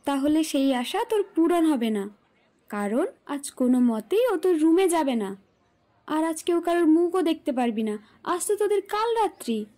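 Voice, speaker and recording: An actress as a young woman in a family romance audio drama. Her voice is very high, with a gentle, dark-edged tone. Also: very animated, moderate pace. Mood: teasing, firm. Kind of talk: audio story acting.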